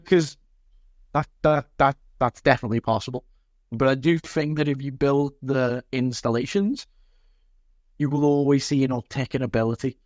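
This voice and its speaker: A man's voice: medium pitch, steady tone, normal speed, darkish tone, no echo. Earnest, emphatic man in a close, dry interview. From Liverpool, England. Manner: earnest, emphatic, repeats phrases for stress. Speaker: interview guest, football coach. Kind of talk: reflective interview conversation.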